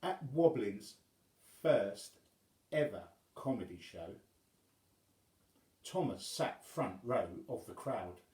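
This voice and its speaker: Guy telling a childrens story, takes his time, really animated, echoey room. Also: on the low side, takes it slowly, long pauses. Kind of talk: expressive children's story reading.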